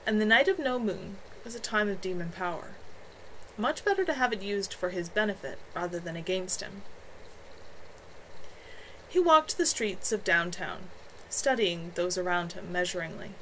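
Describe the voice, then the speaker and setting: A woman's voice: medium pitch, a bit bright. Woman reading fiction aloud, noisy background. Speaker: author reading own novel on livestream. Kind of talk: expressive amateur audiobook-style narration. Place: at home, in a room with a bed.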